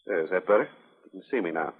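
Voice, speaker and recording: An actor playing a hard, menacing rancher in a 1950s radio western, speaking in deliberate threats. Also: male voice, low-pitched, animated, husky. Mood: taunting. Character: hard, menacing rancher guarding his friend's claim. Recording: muffled, band-limited old radio broadcast.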